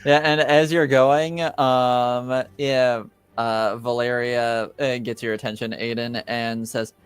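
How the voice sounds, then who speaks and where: A man narrating as game master of a tabletop game, improvising haltingly as he searches for words. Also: mid-range voice, flat delivery, clean tone, no room sound.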